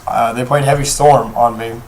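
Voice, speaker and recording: Hoarse man with a flat voice. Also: mid-range voice, normal speed, slight background noise. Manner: rambling, many ums and restarts. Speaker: card game deck reviewer. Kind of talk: casual deck profile talk. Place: indoors at a table, off camera.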